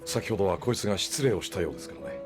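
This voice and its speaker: A very low-voiced, polished gentleman of authority. He speaks with smooth, formal courtesy and a dry teasing streak, in an audio drama with music clearly behind him. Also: expressive, rapid-fire. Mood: courteous. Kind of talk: Japanese audio drama acting.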